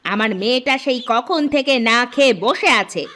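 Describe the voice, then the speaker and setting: Cartoon stepmother, bossy and sharp-tongued, voiced by a woman, high and bright. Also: lively intonation, moderate pace. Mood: scolding. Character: harsh scolding stepmother, middle-aged woman. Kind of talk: cartoon voice acting.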